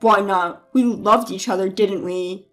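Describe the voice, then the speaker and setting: A woman voicing a bullying, entitled husband who shouts and belittles his wife, with a lowered voice. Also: fairly even intonation, a little slow, faint music behind. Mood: pleading. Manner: yelling, belittling, then pleading. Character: bullying entitled husband, loud mama's boy.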